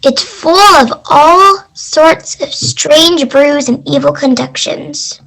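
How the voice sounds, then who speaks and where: A woman's voice: high-pitched, takes it slowly, creaky, fairly bright tone, heavily acted, rough recording. A woman reading the part of a whiny child telling a fishy tale in readers theater. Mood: whiny, defensive.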